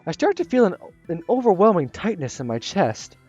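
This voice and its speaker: A man reading the inner thoughts of a shy, anxious one-eyed teenage girl in a visual novel, soft and hesitant. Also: high-pitched, big pitch swings, fairly quick, slightly rough, full character voice. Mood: wistful. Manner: soft, hesitant narration with restarts. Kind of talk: first-person visual novel narration. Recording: slightly muffled with soft music.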